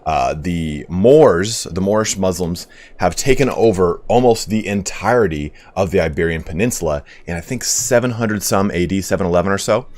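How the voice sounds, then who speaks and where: Hoarse low-voiced male streamer. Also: normal speed, a little mellow. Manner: rambling, profane, reads donations aloud. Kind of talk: casual live-stream history talk.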